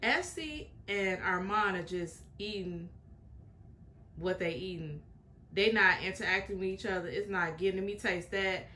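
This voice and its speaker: A woman's voice: medium pitch, a little slow, bright, forceful tone, slight background noise. A young woman hosting a reaction video, chatting frankly and opinionated to her viewers, with in her speech. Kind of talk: casual reaction commentary.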